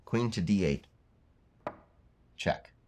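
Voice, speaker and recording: A man's voice: deep voice, lively intonation, slow, darkish tone, a little hiss or hum. An actor playing a weary, put-upon man who answers tersely and with resignation, in understated naturalistic dialogue.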